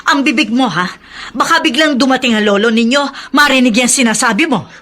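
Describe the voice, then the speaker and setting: An actress playing a patient, gently reproving mother with a Filipino accent in a radio drama. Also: high-pitched, a little fast. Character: chiding, patient middle-aged mother.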